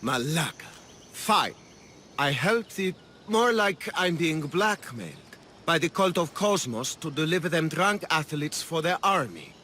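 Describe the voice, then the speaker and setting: Game casting: nervy, wheedling collaborator, high-pitched and very animated, faint ambience behind. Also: male voice, unhurried, slight background noise, exaggerated voice. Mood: grudging, uneasy. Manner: anxious, pleading, wheedling. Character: panicky, self-serving male cult collaborator, ancient Greek schemer. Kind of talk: video game character acting.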